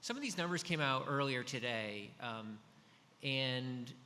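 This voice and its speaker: A man in his fifties with a loud voice, giving a casual, filler-heavy business talk at a conference through a microphone. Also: mid-range voice, lively intonation, a little slow. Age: 50s. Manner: loud, chatty, many fillers and restarts. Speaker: conference speaker. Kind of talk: business presentation. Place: large conference hall. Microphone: handheld or stand microphone. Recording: hall reverb with faint noise.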